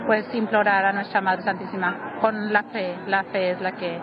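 Earnest Mexican woman, fairly even intonation. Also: medium pitch, moderate pace, highs cut off, noisy background. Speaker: religious sister interviewee. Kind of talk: unscripted interview answer.

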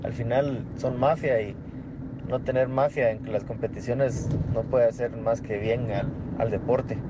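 A man's voice: medium pitch, dull audio. Guatemalan man on a noisy voice message. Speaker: listener leaving a voice message.

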